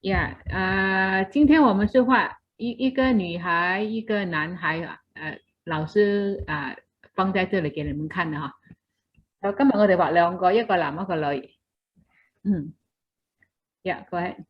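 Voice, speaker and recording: A woman hosting a video-call class, interpreting the teacher's words in a brisk, friendly manner. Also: on the low side, highs cut off.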